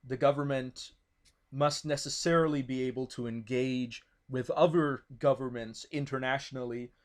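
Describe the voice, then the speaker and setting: A man's voice: mid-range voice, fairly even intonation, moderate pace. A man whose first language is not English, explaining his political ideas in a discussion, thoughtful, with many fillers and restarts. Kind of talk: casual discussion.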